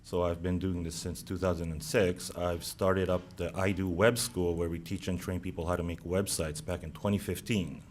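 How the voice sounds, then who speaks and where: A man's voice: low-pitched, flat delivery, a little hiss or hum. Japanese-accented man presenting at a seminar. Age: 30s. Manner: halting, many "uh" fillers. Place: seminar room.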